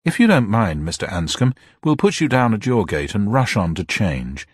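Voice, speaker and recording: Cool brisk young lady, done by a male narrator, radio story, higher voice, bit husky. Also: big pitch swings, dry recording, clean studio sound. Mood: brisk, matter-of-fact. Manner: cool, matter-of-fact. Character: cool, matter-of-fact young woman, brisk and caring.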